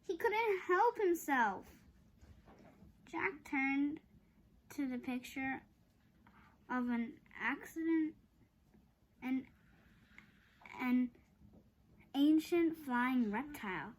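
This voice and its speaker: High-voiced woman reading slowly aloud. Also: animated. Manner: halting, stumbles over long words. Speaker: storybook reader. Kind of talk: read-aloud of a children's chapter book.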